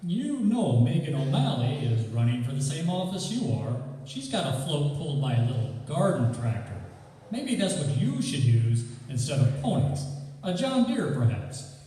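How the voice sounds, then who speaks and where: Guy as a mild teasing neighbor, mellow but lively, radio play, echoey hall. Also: a little high, normal speed. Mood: gently needling. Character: Sam, mild, gently needling neighbor. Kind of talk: live radio theatre acting. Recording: very echoey hall, distant mic.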